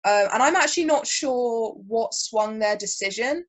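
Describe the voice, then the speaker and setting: A young woman with a high-pitched voice, talking casually as a podcast guest. She is animated and laughs often. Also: normal speed, poor audio. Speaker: podcast guest, grappling commentator. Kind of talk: casual chat.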